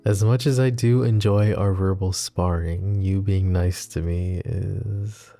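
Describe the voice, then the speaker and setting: A gravelly, tender mafia boss. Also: male voice, low-pitched, fairly even intonation, slow pace, faint music behind, exaggerated voice. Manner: soft-spoken, teasing, intimate. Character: brooding male mafia boss, wry and tender. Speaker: voice actor. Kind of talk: ASMR roleplay.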